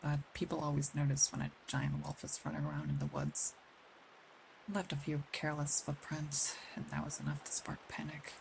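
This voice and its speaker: A woman voicing a caring, protective female werewolf in an intimate ASMR roleplay, speaking gently and confidingly with pauses. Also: low-pitched, gravelly, slight background noise, low-quality recording. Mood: matter-of-fact, wry. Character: caring, protective female werewolf, older than she looks, wistful. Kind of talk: ASMR roleplay, intimate scripted acting addressed to one listener.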